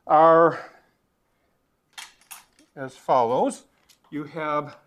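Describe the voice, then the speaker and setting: A man with a somewhat high voice lectures on physics in a hall. He works things out aloud, stumbling over words and filling gaps with "uh." His volume rises and falls as he turns between the board and the room. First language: not Dutch. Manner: thinking aloud with restarts and fillers, varying loudness.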